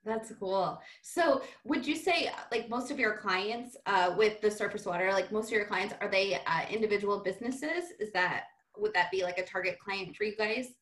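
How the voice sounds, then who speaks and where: A woman's voice: mid-range voice, normal speed, few pauses, fairly bright tone. A woman hosting an interview, enthusiastic and rambling with fillers like "like" and "you know". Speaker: interview host. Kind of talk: casual interview chat. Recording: echoey room.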